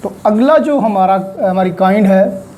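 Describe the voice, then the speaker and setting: Slow-speaking Pakistani woman lecturer, mellow voice. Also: medium pitch. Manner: didactic, repeats key points. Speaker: law lecturer. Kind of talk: explanatory lecture with examples, switching between two languages.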